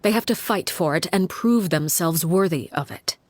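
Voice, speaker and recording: Woman acting low, breathy, stern female leader. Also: close to an everyday voice. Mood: calm, firm. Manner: measured, grave, restrained. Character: stern, solemn adult woman leader of an orphan house. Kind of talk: dramatic video game acting.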